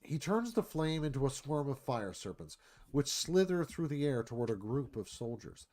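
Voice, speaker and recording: A man reads stage directions as dramatic narration. His voice is mid-pitched and moves up and down expressively, in the scripted style of a screenplay reading. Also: very animated, moderate pace, a little mellow.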